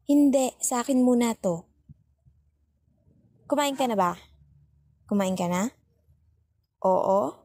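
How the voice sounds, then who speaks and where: A woman acts out a young Filipino girlfriend in an intimate ASMR roleplay, fussing and scolding out of worry. Her voice is dark and breathy, recorded very dry and close. She is bossy and sulky yet caring, repeating her complaints for emphasis. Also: fairly high pitch, no echo, full character voice. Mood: exasperated scolding. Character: nagging, worried, overthinking young girlfriend, bossy but caring.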